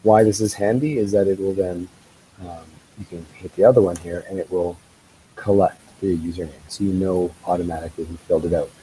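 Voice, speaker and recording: Congested male tech trainer, lowish dark voice, easygoing tutorial delivery, faint hiss. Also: fairly quick, rough recording. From Canada. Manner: relaxed, explanatory, with ums and uhs. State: congested from a head cold. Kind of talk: screen-share software tutorial.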